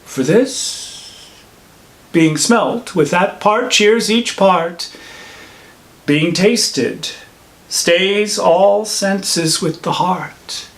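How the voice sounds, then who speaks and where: Casting: male actor as elderly holy friar for classical stage monologue. Higher male voice, unhurried theatrical verse, sage and admonishing. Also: a bit bright, heavily acted. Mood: musing, reverent. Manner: deliberate, measured, theatrical verse delivery. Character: old holy friar, wise, reflective, scolding mentor.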